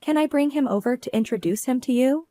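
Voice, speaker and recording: A woman voicing a cheerful, reassuring young college daughter with a bright, girlish lift. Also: high voice, a little fast, no room sound, studio quality, voice pushed up, close to an everyday voice. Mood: hopeful. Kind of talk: scripted narration.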